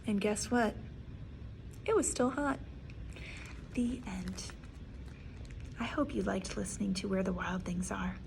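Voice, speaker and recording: A woman reading a picture book aloud to young listeners, theatrically, with dramatic hushes and drawn-out words. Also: mid-range voice, fairly even intonation, vocal fry, a little hiss or hum, low-quality recording. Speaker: children's read-aloud storyteller.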